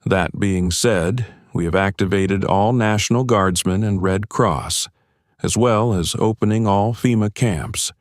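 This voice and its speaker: A man plays an American major general in an audiobook drama. The voice is fairly low and dry, with formal, deliberate, orderly delivery of an official briefing. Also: no room sound, lightly acted. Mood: official calm. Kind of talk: audiobook acting, military briefing.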